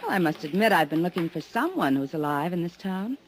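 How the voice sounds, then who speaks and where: A woman's voice: fairly low pitch, very animated, fairly quick, a little hiss or hum. An actress playing a teasing, mysterious woman on vacation in a 1950s radio drama. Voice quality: coy, teasing. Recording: old radio recording with music.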